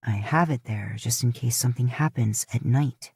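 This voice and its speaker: Teasing young cowboy with a low, easy voice, read by a woman. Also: normal speed, creaky, darkish tone, husky, no room sound, exaggerated voice. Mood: teasing, casual. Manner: easygoing, teasing drawl, lowered voice. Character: teasing cowboy ex-soldier, young adult man.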